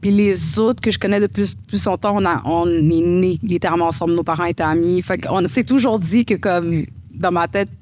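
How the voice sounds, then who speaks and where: A woman's voice: fairly low pitch, normal speed, hardly any pauses, darkish tone, slight background noise. A woman with a Québécois accent, a bride appearing as a podcast guest, talking at length in a chatty way with many fillers. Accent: Québécois French. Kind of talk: casual interview chat.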